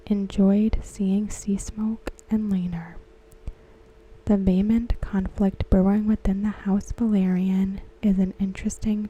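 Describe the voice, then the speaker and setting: A woman whispering softly and unhurriedly in an ASMR style, talking about a TV episode. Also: mid-range voice, husky, poor audio. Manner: soft unvoiced whisper throughout. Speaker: ASMR creator discussing a TV episode.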